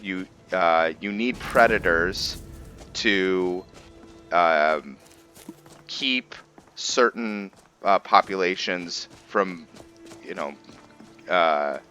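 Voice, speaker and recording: Male gaming host, low and bright-edged voice, thinking aloud haltingly, with music underneath. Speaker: gaming video host and roleplayer. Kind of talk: casual gameplay commentary with roleplay storytelling.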